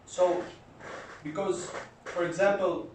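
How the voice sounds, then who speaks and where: A man from Albania asking a question from the audience at a conference, speaking slowly and searching for words. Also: high-pitched, fairly even intonation, darkish tone, slight background noise. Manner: slow and searching. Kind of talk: slow, searching question from the floor. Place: conference hall. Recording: echoey hall, distant mic.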